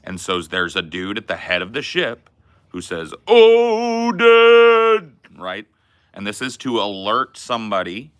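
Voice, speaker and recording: A man co-hosting a podcast, telling stories in a rambling, laid-back way with a loud, resonant voice. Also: lowish voice, dry recording. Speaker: podcast co-host. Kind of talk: casual chat.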